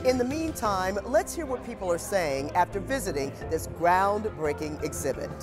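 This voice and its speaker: Female news host, measured scripted delivery, faint music bed. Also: fairly low pitch, lively intonation. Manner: measured, inviting questions to viewers.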